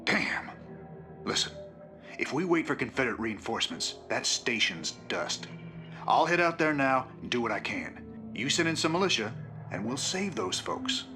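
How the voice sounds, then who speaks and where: A man plays a plain-spoken frontier lawman in a video game. His mid-pitched voice has a Southern American drawl. He is blunt, gritty and defiant, with prominent music underneath. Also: very animated, moderate pace, close to an everyday voice. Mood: resolute.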